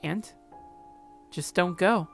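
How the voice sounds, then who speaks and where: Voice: medium pitch, slow, creaky, soft background music, pitched above the performer's own voice. A performer voicing a clingy, possessive young woman from a visual novel in a sweet, coaxing manner.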